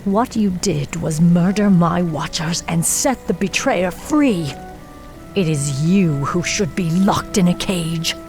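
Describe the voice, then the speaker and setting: An actress playing a stern, bitter night elf warden commander in a fantasy game, speaking formally and with intensity. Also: female voice, fairly low pitch, slow pace, darkish tone, soft background music. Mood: bitter, accusing. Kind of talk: fantasy game cutscene acting.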